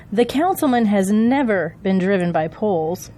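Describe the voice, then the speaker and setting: An actress playing a loyal, assertive political campaign aide in an audio drama. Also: female voice, mid-range voice, lively intonation, unhurried, warm tone. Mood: defensive, passionate.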